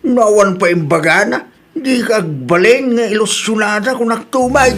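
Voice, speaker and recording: A man with an Ilocano accent acting a grumpy, skeptical father who scolds his daughter in a gruff way. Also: sits high, normal speed, over loud music, natural voice. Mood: grumbling.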